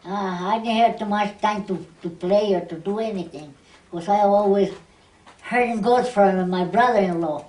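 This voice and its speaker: A woman's voice: medium pitch, normal speed, a little mellow. A ninety-year-old woman reminiscing casually and plainly, often repeating her phrases. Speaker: oral history interviewee. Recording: echoey room, distant microphone.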